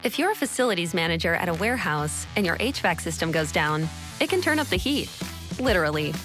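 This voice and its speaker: A woman's voice: on the low side, big pitch swings, fast talker, fairly bright tone. A woman narrating a scripted radio advertisement. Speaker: advertisement announcer. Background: music.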